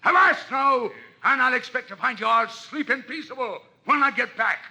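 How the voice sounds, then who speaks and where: Pirate captain for a story record: piercingly bright, gravel-throated, cunning and domineering, bellowing sea-dog speech. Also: male voice, very high voice, normal speed, exaggerated voice. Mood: commanding, fierce. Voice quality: gravelly, forceful. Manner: bellowing commands in old seafaring pirate talk. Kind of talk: dramatized adventure story record. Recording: noisy old vinyl record.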